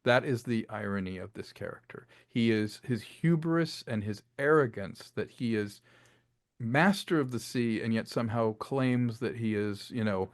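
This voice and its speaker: A man with a mid-pitched voice speaks in a dry, close sound. He is an interviewed creative who explains his craft conversationally, in an expansive and reflective storyteller's manner. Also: normal speed, no echo. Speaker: filmmaker and audio drama writer being interviewed.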